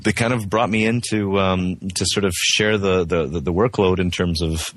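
Low-voiced man, halting and friendly. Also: fairly even intonation, fairly bright tone, no echo. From Canada, West Coast. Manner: halting, many restarts, friendly. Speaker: actor, interview guest. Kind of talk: casual interview over the phone. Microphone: remote call.

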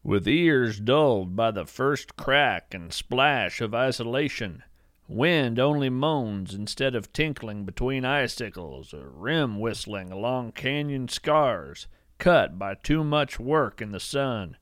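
A man playing a folksy, earnest naturalist who hosts an audio fiction show, narrating in a measured, lyrical way. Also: mid-range voice, expressive, fairly bright tone, no room sound, studio quality. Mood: solemn, lyrical. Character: folksy, wonder-struck male naturalist hosting a show about cryptids. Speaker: podcast host.

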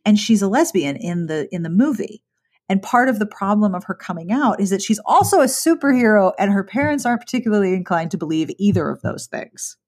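A woman's voice: medium pitch, lively intonation, brisk, darkish tone. A woman hosting a podcast, chatting casually and enthusiastically, with lots of "like" fillers. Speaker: podcast host. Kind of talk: casual interview chat.

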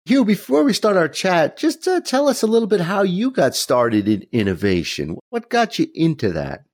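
A man's voice: high voice, wildly swooping pitch, moderate pace, darkish tone, no echo, studio quality. A man hosting a podcast, chatting casually and welcoming his guest in a friendly, upbeat way. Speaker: podcast host.

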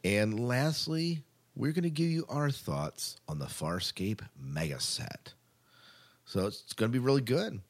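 A man's voice: mid-range voice, sing-song, unhurried. A man co-hosting a podcast in casual chat, rambling along informally and with enthusiasm. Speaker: podcast co-host.

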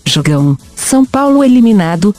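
A woman's voice: medium pitch, very animated, fairly quick, mellow, faint music behind. A Brazilian woman giving teasing, mock-newsy football predictions.

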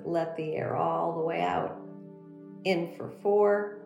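A woman leading a guided meditation as a teacher, speaking in a gentle, soothing, unhurried instructional manner. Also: on the low side, steady tone, creaky. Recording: roomy echo under background music. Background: meditation music.

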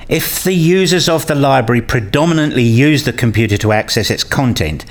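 A man's voice: medium pitch, close to an everyday voice. A man acting in a scripted radio play as an eager, opinionated character who explains and argues his ideas.

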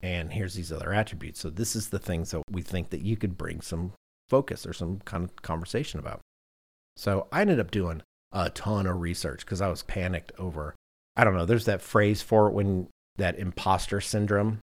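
A man's voice: deep voice, normal speed. A man hosting a podcast in casual conversation, telling anecdotes in a self-deprecating way. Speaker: podcast host.

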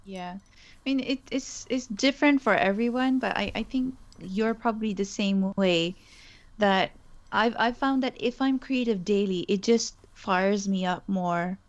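Interview guest, woman, native Urdu speaker, thoughtful and hesitant, middle-pitched voice on muffled audio. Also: unhurried. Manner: thoughtful, with frequent restarts and fillers. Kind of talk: conversational interview.

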